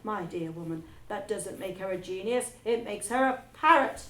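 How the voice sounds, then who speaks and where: A woman voicing a bullying headmistress who barks her lines loudly and scornfully. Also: mid-range voice, lively intonation, normal speed, echoey room, slight background noise, close to an everyday voice. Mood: scornful bellowing. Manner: loud, scornful barking. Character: bullying, barking female headmistress.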